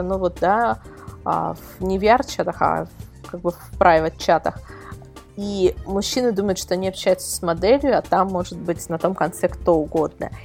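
A woman's voice: fairly low pitch, vocal fry, darkish tone. A woman speaking as a guest expert in a casual interview, explaining things and often searching for words. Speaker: guest expert, web portal editor.

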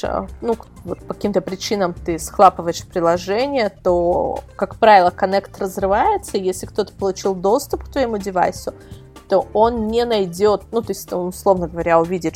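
A woman's voice: medium pitch, a little mellow. A woman giving an informal, detailed explanation as a guest expert, talking through examples. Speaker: guest expert, editor of a product review site. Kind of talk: informal explanatory interview talk.

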